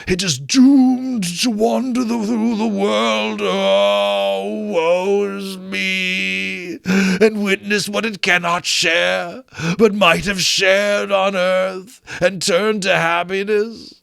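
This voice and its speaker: Man voicing a wailing chained ghost, mournful old spirit, higher strained voice, very slow drawn-out words, storybook. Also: level tone, vocal fry, a bit bright, no room sound, studio quality, exaggerated voice. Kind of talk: storybook reading.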